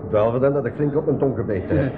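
An actor playing a sober, polite expedition companion in a 1980s school radio play. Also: male voice, medium pitch, fast talker, lightly acted. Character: sober, polite male expedition companion aboard a flying machine. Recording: tinny, noisy old radio play.

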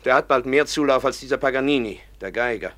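An earnest, principled schoolteacher, played by an actor, in a radio play. He speaks at a middle pitch with steady conviction. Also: fast, natural voice. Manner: earnest, reads aloud from an official report. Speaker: professor and teacher.

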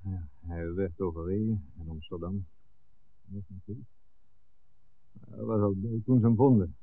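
A man's voice: sits low, fairly even intonation, a little slow, a little hiss or hum. An actor playing a grave, earnest village man who speaks hesitantly and respectfully in a 1960s radio drama. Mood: grave, reluctant. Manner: hesitant, addresses the priest respectfully. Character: grave village man bearing bad news, later indignant. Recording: thin phone-like 1960s radio recording.

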